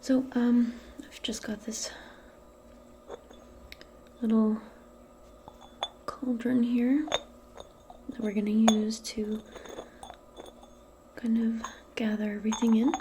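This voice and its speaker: A woman speaking softly and breathily, often in a whisper, in a gentle, hesitant ASMR roleplay as a modern witch. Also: fairly high pitch, flat delivery, background noise. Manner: gentle, unhurried, hesitant and improvised. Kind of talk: soft-spoken, whispered ASMR personal attention roleplay.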